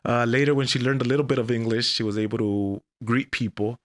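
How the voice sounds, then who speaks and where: A man in his forties, originally from Mexico, telling his life story as a guest on an interview podcast in a deliberate, measured way. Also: mid-range voice, steady tone, dry recording, clean studio sound. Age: 40s. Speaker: guest, English professor.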